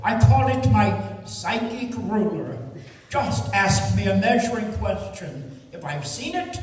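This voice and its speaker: A woman's voice: mid-range voice, very animated, slow, a little mellow. A woman acting a folksy, self-satisfied choir lady in an amateur radio theatre comedy. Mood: proudly boastful. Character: Jinx, folksy boastful choir lady, proud measurer. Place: college radio theatre performance in a hall. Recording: echoey hall, recorded from far off.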